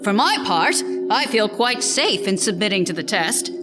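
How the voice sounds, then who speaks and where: A woman's voice: mid-range voice, animated, moderate pace, natural voice. A woman acting a proud, plain-spoken, dim-witted village alderman in a theatrical reading of a comic period play. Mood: boastful. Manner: blustering, muddled with learned words. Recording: reverberant hall, music behind.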